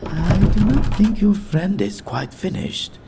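An actress playing a formal, eccentric woman with stiff, precise, deadpan speech in a comic audio drama. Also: mid-range voice, measured pace, gravelly, noisy background.

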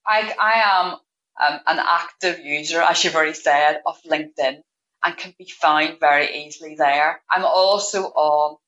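A woman's voice: sits low, measured pace, a bit bright, dull audio. A woman guest in an interview, explaining her views in an earnest, thoughtful way. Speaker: guest payroll manager. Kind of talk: interview answers.

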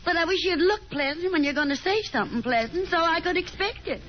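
A woman's voice: very high-pitched, fast talker. An actress playing a domineering, chattering middle-aged Southern mother in a theatrical 1950s radio drama style. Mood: gushing, charming. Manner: theatrical, gushing, commanding. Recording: muffled, hissy 1950s radio broadcast.

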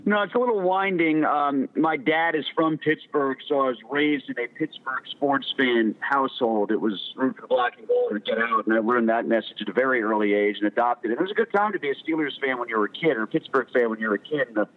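A phone-like, narrow line carries an American man in his forties. He talks sports casually and at length, rambling along like a journalist being interviewed on the radio, at an average male pitch. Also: fast, hardly any pauses. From Connecticut, United States. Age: 40s.

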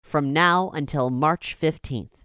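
A woman hosting a podcast, reading a scripted announcement in a measured, polished way, recorded close in a studio. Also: low voice, fairly bright tone, smooth, dry recording. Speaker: showrunner and podcast host.

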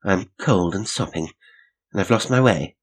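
A man reading aloud, voicing a bold, practical young woman with crisp, well-bred phrasing. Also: sits low, unhurried. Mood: brisk.

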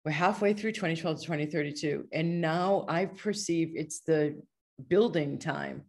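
A woman's voice: deep voice, darkish tone. A woman speaking as a podcast guest, a healer and coach explaining ideas in an earnest, discursive, conversational way. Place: online video call.

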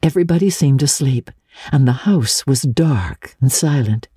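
A woman narrating classic literature as an audiobook, with dramatic, measured storytelling. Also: rumbling low, expressive, mellow, husky, no echo, clean studio sound.

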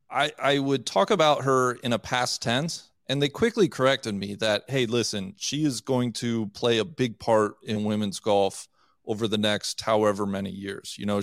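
A male podcast host asking his guest questions in a loose, conversational way, and happy to make fun of himself. His voice sits at a middle pitch and sounds dry and close, with almost no room around it. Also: dry recording. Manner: conversational, self-deprecating questions. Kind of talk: casual interview.

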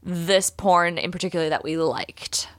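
A woman's voice: lowish voice, measured pace, dry recording, studio quality. A woman hosting a podcast in casual, joking conversation. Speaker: podcast host. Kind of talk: casual comedic chat.

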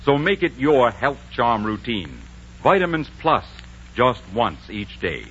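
A man's voice: mid-range voice, sing-song, a little slow, background noise. A man with an American accent announcing in a polished, persuasive 1940s radio style. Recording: muffled 1940s radio broadcast.

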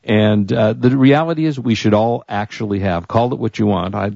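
A man's voice: fairly low pitch, normal speed, vocal fry, darkish tone, no room sound, muffled recording. An American man co-hosting a radio show in casual banter, with a jokey, teasing manner.

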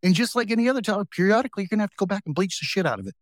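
A man hosting a podcast, talking casually and drawing out homey analogies, slowing down on the points he wants to stress. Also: sits high, animated, no room sound, clean studio sound. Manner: folksy, uses homey analogies, slows for emphasis. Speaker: podcast host. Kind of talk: casual interview talk.

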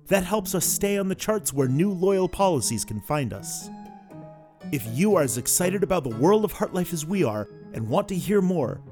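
A man's voice: a little high, darkish tone. A man, the show's executive producer, reading a scripted podcast outro announcement.

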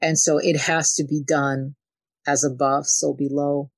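A woman's voice: sits low, flat delivery, measured pace, dry recording. A woman from Ukraine talking as a spiritual channeler and interview guest, often dropping in "you know" as she goes. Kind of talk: spiritual conversation.

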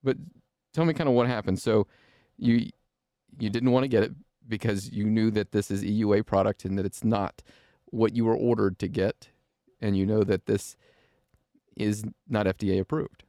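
A man's voice: on the low side, steady tone, moderate pace, dark tone, no room sound, studio quality. A man hosting an interview podcast, explaining points earnestly and with emphasis. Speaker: podcast host.

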